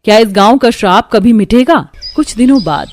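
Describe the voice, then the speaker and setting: A woman's voice: fairly high pitch, lively intonation, normal speed, noisy background. A woman narrating a scripted story in a dramatic storytelling manner.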